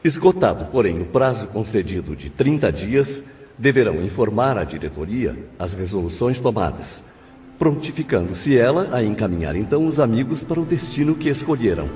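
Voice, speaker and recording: A man playing a calmly authoritative spirit official, explaining formally in a dramatised audiobook. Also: on the low side, normal speed. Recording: dull reverberant hall, faint noise.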